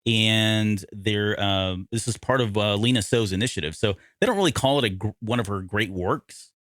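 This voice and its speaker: Male podcast co-host, lower register, dry recording, loose conversational explainer who revises his phrasing mid-thought. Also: normal speed, a bit bright, no room sound. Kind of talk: casual discussion of an audio drama.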